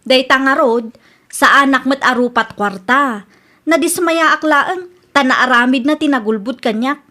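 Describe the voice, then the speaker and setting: A woman plays an indignant young girlfriend in an Ilocano radio drama. Her voice is very high, with an Ilocano accent and a stubborn, questioning delivery. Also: unhurried, pushed and bright. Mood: disappointed.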